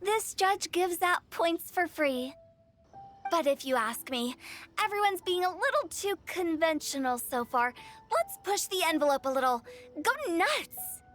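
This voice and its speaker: A woman's voice: very high-pitched, big pitch swings, a little slow, a bit bright, soft background music, heavily acted. A woman voicing a playful, mischievous young woman with a teasing, singsong manner, in a game cutscene.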